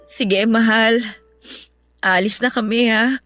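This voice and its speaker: A woman's voice: on the high side, fairly even intonation, slow, a bit bright. An actress playing a grieving young widowed mother, plaintive and emotional, in a Filipino radio love-story drama. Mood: tearful, tender. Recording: music bed under the voice.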